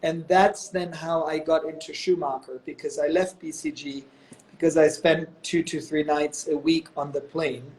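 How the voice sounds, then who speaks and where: A man's voice: on the high side, fairly even intonation, normal speed, darkish tone, a little hiss or hum, low-quality recording. A man in his thirties from Germany, telling stories as a guest on a live video call, chatty and full of "you know" and "um". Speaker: guest, company executive.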